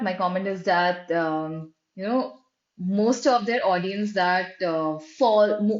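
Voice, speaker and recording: A woman walks through a website review in a conversational style, often checking in with "right?" and pausing on fillers. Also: mid-range voice. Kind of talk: screen-share walkthrough commentary. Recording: roomy, dull lo-fi sound.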